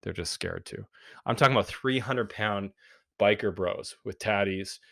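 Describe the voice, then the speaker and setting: A man with a low, deep voice talks through ideas in an earnest, reflective way, with plenty of "like" and "you know." The sound is dry and close, with no room around it. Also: normal speed, vocal fry, sharp tone, no room sound. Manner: earnest, reflective, with frequent fillers. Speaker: podcast guest, men's group founder. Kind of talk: casual interview talk.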